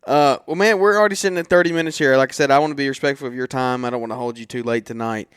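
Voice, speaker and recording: A man's voice: medium pitch, very fast, fairly bright tone, clear, resonant tone, dry recording, studio quality. A man hosting a casual interview podcast, speaking in an informal, effusive and encouraging way. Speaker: podcast host.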